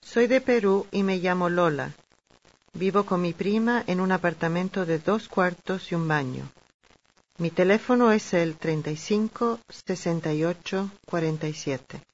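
A woman's voice: on the low side, measured pace. Woman in a language-course dialogue, lo-fi. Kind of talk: scripted dialogue for a language lesson. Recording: dull lo-fi tape audio.

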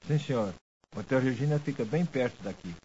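Deliberate male lesson reader, muffled recording. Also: mid-range voice, expressive, dark tone, a little hiss or hum. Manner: deliberate, clearly enunciated. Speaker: language drill reader and dialogue speaker. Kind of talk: scripted language-lesson reading. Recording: muffled lo-fi language tape.

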